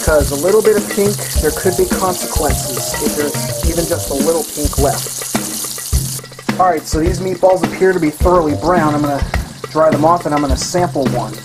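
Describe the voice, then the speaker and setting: A man's voice: fairly high pitch, normal speed, over loud music, poor audio. A young man hosting a casual home cooking tutorial in his kitchen, talking through each step with frequent restarts and self-corrections. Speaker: cooking show host.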